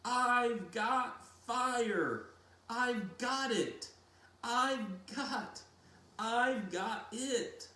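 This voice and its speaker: A man's voice: very high voice, pushed and bright, pitched above the performer's own voice, heavily acted. Guy doing a desperate stranded kid from a storybook, drags his words out, super intense, echoey room. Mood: exultant. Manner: intense, drawn-out, emphatic. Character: stranded lone boy, desperate and intense, in a novel. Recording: roomy echo with faint hiss.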